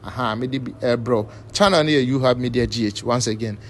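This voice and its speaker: A man's voice: mid-range voice, brisk, a little hiss or hum. A Ghanaian man presenting news commentary informally, repeating phrases and mixing in English words. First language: Twi.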